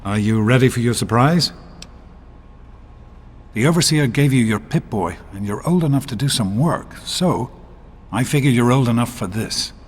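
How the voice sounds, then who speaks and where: Calm, fatherly man in reverberant space. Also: medium pitch, normal speed, long pauses, husky. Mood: warm, encouraging. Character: gentle, steady middle-aged father, protective and encouraging. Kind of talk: video game voice acting.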